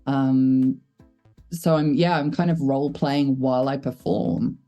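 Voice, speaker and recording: Low-voiced woman, dry humor. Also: steady tone, a little slow, mellow, highs cut off, soft background music. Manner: thoughtful, self-deprecating, laughs while talking. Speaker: voice actor guest. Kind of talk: casual interview chat.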